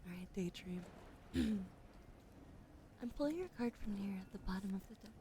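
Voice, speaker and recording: Gravelly whispering woman, noisy mic. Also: mid-range voice, animated, normal speed. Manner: soft whispering, gentle and encouraging. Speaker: livestream host. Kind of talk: ASMR card reading.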